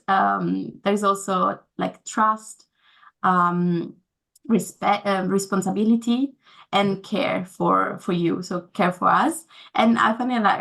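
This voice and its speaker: Young woman guest, english not her first language, lots of ums and restarts, bit of vocal fry. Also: medium pitch, a bit bright. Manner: hesitant, many fillers and restarts. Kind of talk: casual interview answers.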